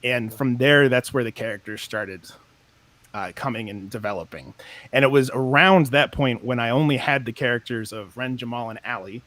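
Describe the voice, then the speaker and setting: A man's voice: medium pitch, normal speed, fairly bright tone, no room sound. Enthusiastic man explaining his podcast. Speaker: podcast creator and writer. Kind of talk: casual interview chat.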